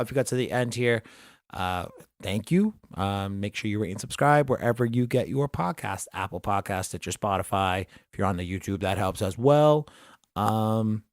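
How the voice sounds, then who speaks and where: A man hosts a laid-back podcast chat, his voice at an ordinary middle pitch. He rambles comfortably with many fillers. The sound is dry and close, with no room around it. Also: no room sound. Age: adult collector who grew up with the show. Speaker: podcast host. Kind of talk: casual interview chat.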